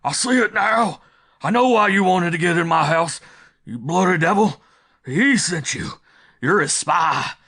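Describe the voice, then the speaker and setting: A man's voice: steady tone, moderate pace, fairly bright tone, close to an everyday voice. A man voicing a harsh, curt, brusque character in a narrated story, suspicious and easily alarmed. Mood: terrified, screaming. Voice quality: deep, harsh. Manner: curt, short-clipped, brusque. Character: harsh, gaunt, brusque man, grudging and prone to terror.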